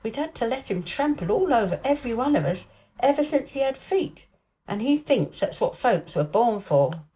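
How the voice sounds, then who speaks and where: A woman's voice: on the high side, very animated, normal speed, warm tone, pitched above the performer's own voice, poor audio. A woman voicing an exasperated storybook housekeeper with a knowing, plain-spoken, grumbling manner.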